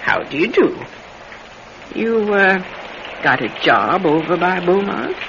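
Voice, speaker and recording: A woman's voice: lowish voice, sing-song, slow, exaggerated voice. A woman playing a sprightly, independent old widow, chatty and folksy in an old-time radio drama. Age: older. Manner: folksy, chatty, muttering to herself. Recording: noisy, muffled old radio recording.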